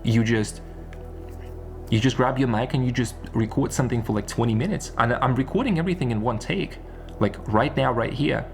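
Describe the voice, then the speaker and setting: A man's voice: mid-range voice, brisk, creaky, soft background music. A man giving an unscripted, rambling monologue as an online content creator, repeating phrases and posing rhetorical questions. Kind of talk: unscripted one-take monologue.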